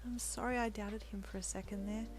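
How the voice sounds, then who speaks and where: A woman voicing a gentle, emotional young pregnant wife who speaks softly and gratefully. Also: medium pitch, fairly even intonation, brisk, slight background noise, pitched above the performer's own voice, close to an everyday voice. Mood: tender. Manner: soft, tearful and grateful.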